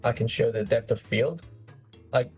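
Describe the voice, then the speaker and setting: A man chatting enthusiastically over a voice call from his home, quick to laugh and full of fillers like "like". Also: mid-range voice, darkish tone, muffled recording, soft background music. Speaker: co-host on a voice call. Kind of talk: casual chat over voice call.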